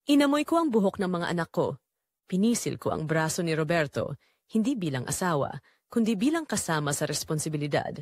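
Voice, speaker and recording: A woman's voice: lowish voice, big pitch swings, brisk, no room sound, clean studio sound. A woman with a Filipino accent narrating a scripted first-person drama in an emotive, confessional way.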